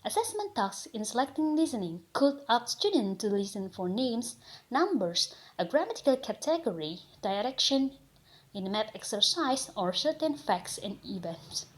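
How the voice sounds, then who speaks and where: Young Indonesian-accented woman, student presenter, higher voice, reads carefully phrase by phrase. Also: very animated, normal speed, poor audio. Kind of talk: reading a slide presentation.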